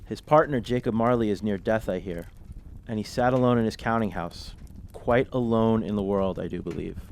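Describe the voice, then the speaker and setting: Man acting low-voiced, affectionate family man. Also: moderate pace, vocal fry, darkish tone, a little hiss or hum. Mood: fond, confiding. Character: affectionate husband and father, teasingly gossiping at fireside. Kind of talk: audio drama acting.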